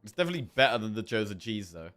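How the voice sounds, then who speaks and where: Guy streaming a game, bright voice, bit of a sore throat, thinking out loud, faint music behind him. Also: lowish voice, sing-song, rapid-fire. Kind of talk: casual gameplay commentary, thinking aloud.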